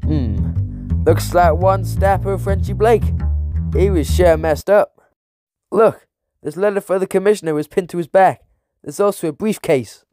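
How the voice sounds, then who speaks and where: A man's voice: high-pitched, unhurried, mellow, no echo, faint music behind, voice pushed up. A man playing brash 1930s tough guys with blunt, slangy talk in a comic book audio drama. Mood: surprised. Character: male 1930s gangsters and cops, brash, tough.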